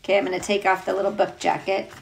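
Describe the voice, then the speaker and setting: A woman's voice: medium pitch, little pitch movement, quick pace, creaky, background noise. A woman teacher reading a picture book aloud to children, chatting playfully and putting questions to her young listeners. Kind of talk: children's read-aloud with casual asides to young viewers.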